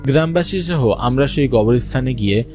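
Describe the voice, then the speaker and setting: A man's voice: medium pitch, animated, moderate pace, darkish tone. A man narrating a first-person ghost story for a cartoon in a dramatic way. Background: music under the voice.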